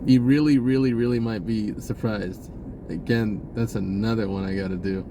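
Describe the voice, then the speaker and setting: A man talking casually in a rambling spiritual monologue, halting and often interrupting himself, in an informal way. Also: lowish voice, fairly even intonation, background noise. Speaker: spirituality vlogger.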